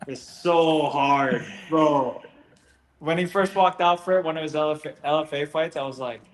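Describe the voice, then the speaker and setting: A man who is a fighter, joking and rambling in a casual interview, often trailing off quietly. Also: fairly high pitch, normal speed. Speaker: fighter, guest. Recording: echoey room, faint background noise.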